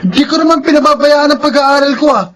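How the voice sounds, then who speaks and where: A very high-pitched, cheeky Filipino teenage boy wheedles and talks back in a radio drama. There is faint noise behind him. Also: quick pace, fairly bright tone. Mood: defensive, indignant. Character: teenage son Eljan, cheeky, wheedling student.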